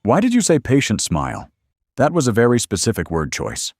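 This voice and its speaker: Male co-host for scripted horror. Low register, very expressive pitch, rattled disbelief, close dry recording. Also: a little fast, dry recording. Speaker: podcast co-host. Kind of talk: scripted reactions to a horror story.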